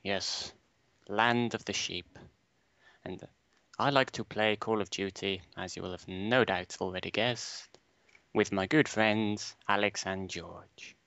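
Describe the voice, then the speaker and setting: A man's voice: lowish voice, fairly even intonation, slow pace, pushed and bright, no room sound, lo-fi sound. A seventeen-year-old boy from Wales, chatting casually and answering briefly in a gaming commentary. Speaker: clan member, guest. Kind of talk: casual chat.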